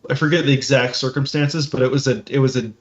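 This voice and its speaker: Dull-sounding man in halting casual discussion. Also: mid-range voice, fairly even intonation. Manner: halting, repeats phrases and checks in with "right?". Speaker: podcast co-host. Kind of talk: casual discussion of tabletop roleplaying games.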